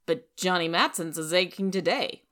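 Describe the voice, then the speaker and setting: A woman's voice: on the low side, normal speed, fairly bright tone, natural voice. A woman voicing a plain-spoken, matter-of-fact village housewife in a storybook. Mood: brisk. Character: plain-spoken village housewife, a sour busybody's best friend. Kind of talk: storybook character reading.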